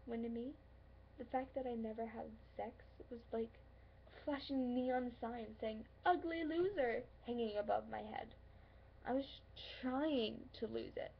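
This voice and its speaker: A woman performing a dramatic stage monologue as a hurt, insecure, lovelorn young woman, earnest and pleading, with halting pauses. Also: on the high side, fairly even intonation, creaky. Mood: reflective, wounded. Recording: dull lo-fi, faint background noise.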